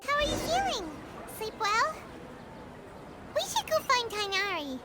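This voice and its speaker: Small fairy sidekick, childlike, squeaky, extremely high, lively swoops, earnest, anime game. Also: female voice, a little slow, music in the background, full character voice. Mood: eager, pleading. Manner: speaks of herself in third person, expressive.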